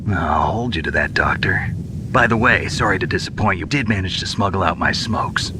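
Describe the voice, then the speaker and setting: A gruff soldier from a video game , played by a man, talks very low with a wry, deadpan manner and dry flirtation. A clear rumbling noise runs behind the voice. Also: moderate pace, natural voice. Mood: wry, unbothered. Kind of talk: video game cutscene acting.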